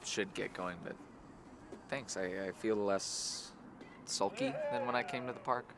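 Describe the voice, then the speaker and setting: A man acting as a dry, teasing, heartbroken guy in a naturalistic comedy, talking in halting conversational phrases. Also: fairly low pitch. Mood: wry, friendly. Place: outdoors in a park. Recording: noisy outdoor ambience, traffic hum.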